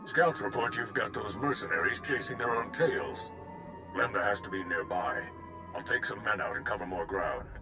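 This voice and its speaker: A man voicing a brisk, dependable soldier in a video game, in clipped military radio talk. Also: lowish voice, lively intonation, natural voice. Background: game music.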